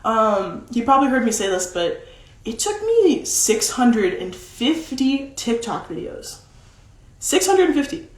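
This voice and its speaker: A young woman with a deeper voice, chatting casually on a livestream as a content coach, with lots of "like" fillers. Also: sing-song, a little slow. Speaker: social media content coach. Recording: roomy echo.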